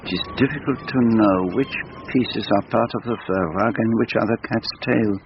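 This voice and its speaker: An actor playing a courteous, enigmatic foreign detective who speaks in formal, deliberate phrases, in a radio drama. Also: male voice, fairly low pitch, natural voice. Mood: musing. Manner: formal, deliberate phrasing with French forms of address. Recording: muffled, noisy radio drama recording.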